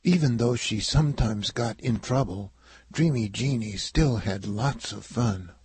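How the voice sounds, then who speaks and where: A man's voice: mid-range voice, a little slow, darkish tone, slightly rough, dry recording. A man narrating a children's story as a read-aloud. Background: music.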